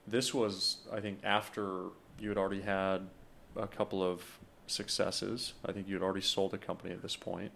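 A man's voice: low voice, little pitch movement, moderate pace, vocal fry, a bit bright, slight background noise. A man in his thirties hosting a podcast, asking interview questions in a friendly, eager way with frequent word repeats, at a desk mic in a small studio. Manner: friendly, enthusiastic, repeats words. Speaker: podcast host. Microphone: desk podcast microphone.

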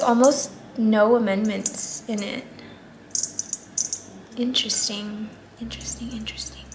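A woman's voice: fairly high pitch, background noise. A young woman with a stuffy, nasal, partly lost voice chatting casually while repotting plants, trailing into murmured asides. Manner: chatty, self-doubting, many fillers and murmured asides. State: congested, nasal, voice partly gone. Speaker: plant vlogger. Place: indoors at a table.

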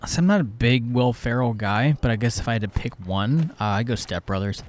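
A young man chats casually as co-host of a gaming video. His voice sits at an ordinary middle pitch, and he speaks conversationally, as if to a friend beside him. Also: lively intonation, a little fast, a little hiss or hum. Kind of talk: casual chat.

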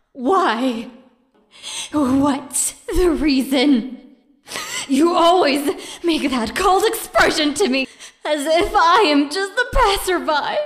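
Casting: unhinged yandere schoolgirl for manga dub, very slow, drawn-out delivery, highly animated pitch, obsessive and theatrical. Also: full character voice. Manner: theatrical, swinging between sweet cooing and tearful outbursts. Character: crazed yandere schoolgirl, obsessive, jealous, tearfully menacing. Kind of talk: dramatic manga dub voice acting.

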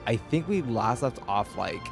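A man's voice: medium pitch, expressive, unhurried, gravelly. A man in his twenties chatting casually as a game streamer, rambling and cutting himself off, close on a desk mic. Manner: rambling, self-interrupting. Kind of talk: casual livestream chat. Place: small room indoors. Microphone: desk mic close to the mouth. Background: game music.

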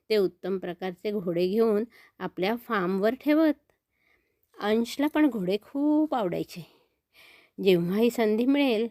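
A woman's voice: medium pitch, a little mellow, dry recording, clean studio sound. A woman storyteller narrating for children, explaining things simply and speaking directly to young listeners.

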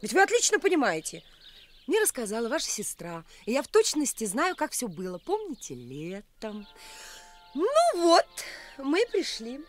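A woman's voice: a little high, huge pitch swings, normal speed, soft background music. An actress playing a capricious, teasing, bored young provincial woman in a radio play, mocking and imperious. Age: young adult.

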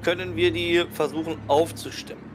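A man's voice: a little high, little pitch movement, moderate pace, noisy background. A man taking part in a tabletop roleplay on a video call, chatting casually as a player. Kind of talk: casual game chat. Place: home room on video call. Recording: music under the voice.

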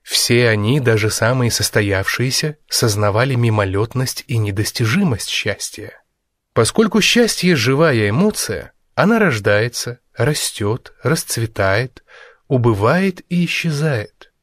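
A man reads a nonfiction book as a scripted narration. His voice sits at an average male pitch, and he phrases deliberately, leaving measured pauses. The sound is dry, with no room around it. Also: animated, a bit bright, no room sound. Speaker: audiobook narrator.

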